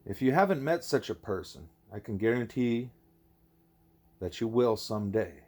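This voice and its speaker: A man voicing a wise, patient male teacher in an audiobook, speaking in a deliberate, formal, explanatory way. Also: mid-range voice, lively intonation, a little mellow, close to an everyday voice. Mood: patient, instructive. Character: wise, patient teacher creature Ishmael, male, measured and didactic.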